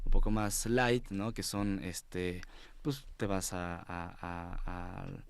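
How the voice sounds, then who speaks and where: A man's voice: sits low, steady tone, takes it slowly, clear, resonant tone. A man with a Mexico City accent chatting informally as an interview guest, often groping for his words. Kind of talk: casual studio conversation. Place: TV studio.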